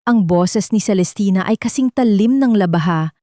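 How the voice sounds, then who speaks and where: A woman tells a dramatic story as narrator. Her voice sits at a medium pitch, and the recording is dry and close. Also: normal speed, warm tone, dry recording.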